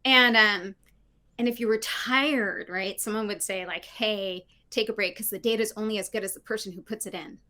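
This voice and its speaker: A woman's voice: medium pitch, normal speed. A middle-aged woman speaking as a guest on a video call from her living room, talking animatedly and often tagging her points with "right?". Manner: animated, frequent "right?" tags, laughs. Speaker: guest, diversity consultant. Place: home living room with bookshelves. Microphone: video call, laptop mic.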